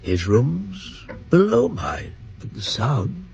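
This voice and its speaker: A man's voice: medium pitch, wildly swooping pitch, takes it slowly, creaky, a little mellow, highs cut off, a little hiss or hum, exaggerated voice. An actor playing an anxious, distracted but kindly elderly grandfather in a radio drama, speaking in a hesitant, faltering way. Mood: uneasy, faltering. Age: older.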